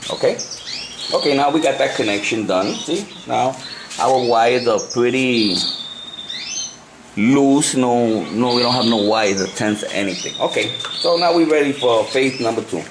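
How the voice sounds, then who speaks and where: Puerto rican guy doing a casual how-to, halting, repeats himself, medium pitch, pretty noisy homemade recording. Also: lively intonation. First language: Spanish. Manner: halting, repetitive, self-correcting. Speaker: amateur radio hobbyist giving a tutorial. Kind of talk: casual hands-on demonstration. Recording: noisy amateur camcorder sound.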